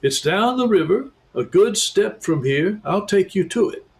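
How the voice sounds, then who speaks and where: A man reading aloud and voicing an excitable, earnest male beaver who talks emphatically. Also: high voice, measured pace, vocal fry, voice pushed up, full character voice. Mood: eager.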